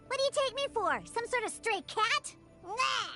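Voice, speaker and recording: A woman's voice: very high-pitched, animated, moderate pace, bright, forceful tone, faint music behind, exaggerated voice. A woman voicing a feisty, proud young cat-eared girl bartender in a video game, pouty and bratty with cutesy delivery. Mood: huffy. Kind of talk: video game character voice lines.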